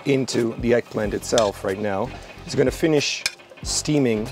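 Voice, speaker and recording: A young man cooking outdoors at a barbecue, relaxed and explaining each step as he works. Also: mid-range voice, normal speed. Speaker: cooking show chef. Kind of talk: cooking demonstration. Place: outdoor garden by a barbecue grill.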